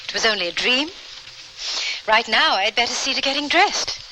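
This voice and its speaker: Proper lady traveler, highish bright female voice, earnest, genteel, Western radio play. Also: animated, moderate pace. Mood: earnest, concerned. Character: genteel, composed lady traveler in the Old West. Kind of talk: old-time radio drama acting. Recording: noisy old radio broadcast recording.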